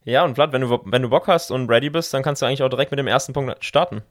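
A man's voice: mid-range voice, rapid-fire. A man, hosting a podcast interview in a casual, conversational style.

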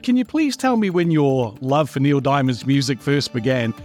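A man's voice: medium pitch, lively intonation, normal speed, a little mellow, soft background music. New man hosting a podcast. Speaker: podcast host. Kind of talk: casual interview.

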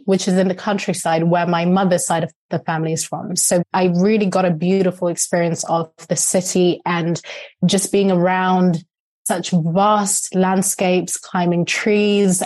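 A woman in her thirties, a Shona speaker, speaks as a podcast guest. Her voice sits a little low and has a soft, mellow, unbright tone. She tells her story slowly and earnestly, in a reflective way. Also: flat delivery. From Zimbabwe.

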